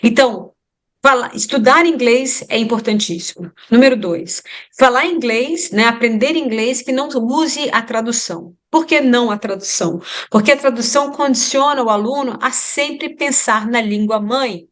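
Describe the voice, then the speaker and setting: A woman's voice: on the high side, normal speed, fairly bright tone. A Brazilian woman with a Brazilian Portuguese accent, an English teacher giving advice in an interview, emphatic and repeating her points for emphasis.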